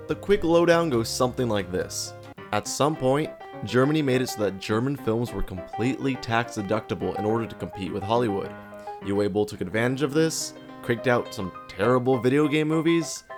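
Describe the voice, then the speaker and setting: A man narrating a video essay in a casual, conversational way, sarcastically asking questions and answering them himself. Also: mid-range voice.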